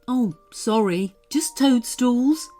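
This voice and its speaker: Woman doing a loud, hearty goblin. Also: on the high side, slow, soft background music, pitched above the performer's own voice. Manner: loud, hearty and odd-sounding. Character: friendly female goblin, big-nosed.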